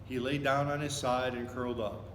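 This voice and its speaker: A man reads a children's book aloud with expression. His voice sits at a middle pitch. The recording rings with long reverb and has clear noise behind it. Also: fairly even intonation, normal speed, fairly bright tone. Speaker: volunteer story reader. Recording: echoey, reverberant room with noticeable noise.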